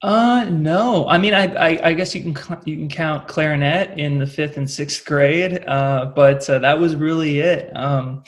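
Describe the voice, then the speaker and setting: A man's voice: fairly high pitch, steady tone, unhurried, hardly any pauses. A man in his late twenties with a Californian accent, chatting casually as an interview guest in a rambling, filler-heavy way. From California, United States. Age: late 20s. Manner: rambling, peppered with "like" and "you know". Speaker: interview guest, rock singer.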